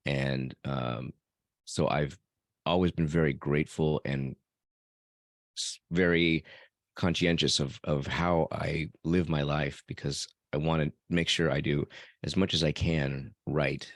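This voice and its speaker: A man speaking as an interview guest, reflecting on personal matters in a measured way and pausing mid-phrase. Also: very deep voice, fairly even intonation, no room sound, studio quality. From born in El Salvador, raised elsewhere by adoptive parents. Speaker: podcast guest.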